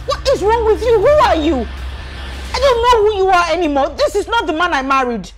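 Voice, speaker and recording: A woman's voice: very high-pitched, expressive, brisk, loud music behind the voice. A woman playing a hurt, indignant young wife who protests and pleads, in a film drama. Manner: pleading, protesting in short questions.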